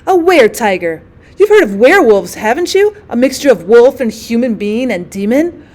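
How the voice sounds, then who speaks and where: A genteel society lady from a classic short story, acted out by a woman reader, haughty and drily witty. Her fairly high voice rises and dips freely as she recounts her grievances with wordy emphasis. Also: big pitch swings, normal speed, a little mellow.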